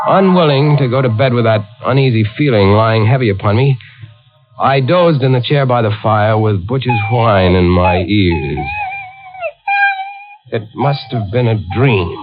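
A man's voice: lowish voice, natural voice. A man playing an anxious, brooding young man who narrates with dread in a 1940s radio thriller. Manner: tense, brooding, dramatic first-person narration. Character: anxious young man haunted by dread for his twin sister. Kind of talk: 1940s radio drama acting. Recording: muffled vintage 1940s radio broadcast.

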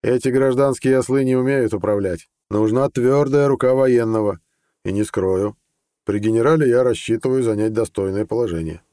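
Man reading as a blunt army colonel, mellow-voiced. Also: medium pitch, normal speed, no room sound, studio quality, pitched above the performer's own voice, lightly acted. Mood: firm, conciliatory. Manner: plain-spoken, earnest. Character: blunt, ambitious army colonel, proud plain-spoken soldier. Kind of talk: audiobook character dialogue.

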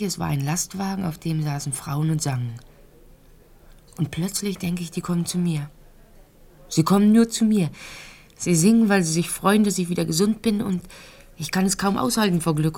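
A woman's voice: sits low, creaky. An actress in a radio drama playing a talkative, naive and frank young Ukrainian-born woman who tells her life in a rambling, conversational way. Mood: wistful, absorbed. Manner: rambling storytelling, occasional hushed phrases.

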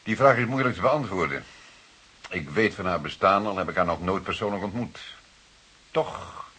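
An actor playing a calm, authoritative police detective in a radio drama, questioning in a formal, deliberate way. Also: male voice, low voice, slightly rough, full character voice. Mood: measured, reassuring. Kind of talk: Dutch radio drama dialogue. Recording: hissy old radio drama recording.

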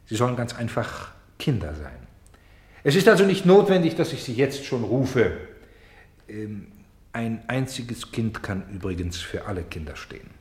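A man acting as an insistent, solemn narrator and director in a German radio play, speaking deliberately with emphatic pauses. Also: medium pitch, sing-song, echoey room, lightly acted. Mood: polite, organising. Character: solemn, insistent male stage narrator presenting a grave play. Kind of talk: theatrical German radio play, addressing the audience.

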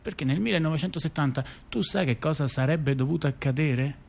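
A man's voice: on the high side, creaky, darkish tone. A man telling a story conversationally, repeating words and often tagging on little questions. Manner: halting, with repeated words and frequent rhetorical tag questions. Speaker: storyteller in a two-person narrative theatre dialogue. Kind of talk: conversational narrative monologue.

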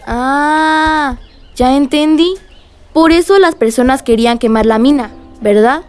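A woman's voice: high-pitched, a little slow, a little mellow. A woman playing a curious, naive young child listening to a story in a radio drama. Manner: inquisitive, naive.

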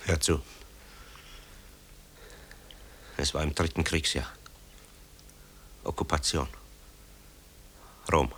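An actor playing a tormented grown son, a composer haunted by wartime guilt, in a grave, halting radio drama delivery. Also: male voice, a bass voice, steady tone, bright, forceful tone, a little hiss or hum, heavily acted. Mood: tense, confiding. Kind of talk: German radio drama acting.

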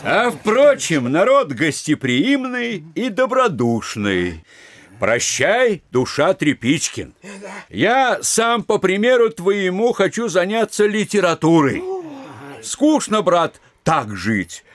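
An actor playing a gleeful townsman in a classic comedy audio drama, reading aloud in a drawn-out, declamatory way. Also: sits high, big pitch swings, a bit bright, full character voice.

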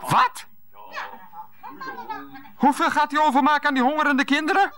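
A man's voice: very high-pitched, moderate pace, sharp tone. An actor playing an evasive, put-upon grown son who stammers and grumbles to his mother on the phone in a 1990s radio comedy. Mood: indignant.

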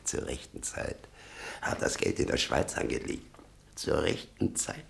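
An actor playing an embittered old father in a German radio drama, gravelly, muttering and brooding with sudden outbursts. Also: very deep voice, expressive, measured pace, exaggerated voice. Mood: secretive. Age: older. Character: embittered old father obsessed with his convicted son.

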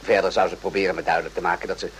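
A man's voice: low voice, little pitch movement, close to an everyday voice. Composed, methodical officer played by a man, faint hum. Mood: composed, explaining. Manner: measured, deliberate explaining. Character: composed, methodical military officer recounting a spy affair. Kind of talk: radio drama storytelling. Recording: faintly noisy, humming old radio recording.

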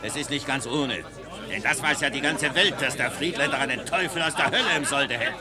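Guy doing a soldier spilling camp gossip, knowing tone, loud crowd behind. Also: mid-range voice, brisk, lightly acted. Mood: confiding.

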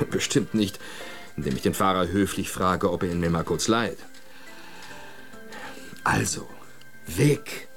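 A man's voice: low voice, slow pace, pauses often, a bit bright, soft background music. A man playing a restless, obsessed, persuasive character in a radio play, speaking with insistent emphasis. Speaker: actor in a radio drama. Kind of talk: German radio play acting.

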